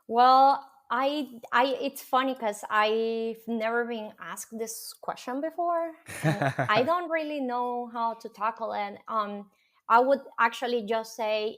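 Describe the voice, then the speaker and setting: Venezuelan woman, slightly high voice, musing. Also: fairly bright tone. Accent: Venezuelan Spanish. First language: Spanish. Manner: thoughtful, many ums and restarts. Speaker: podcast guest. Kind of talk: casual interview chat.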